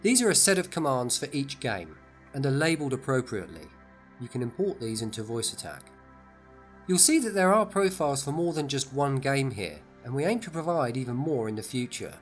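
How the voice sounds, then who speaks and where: Brief: male promo narrator, mid pitch, upbeat explainer, light music bed. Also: big pitch swings, brisk. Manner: enthusiastic, explanatory. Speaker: product narrator.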